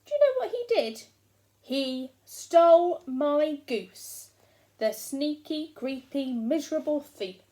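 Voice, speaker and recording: A woman's voice: high-pitched, lively intonation, slow, dark tone. A woman voicing a huge, hungry, self-pitying giant who complains with sarcastic emphasis as he tells his own storybook tale. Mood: aggrieved, angry. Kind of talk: first-person storybook narration read aloud.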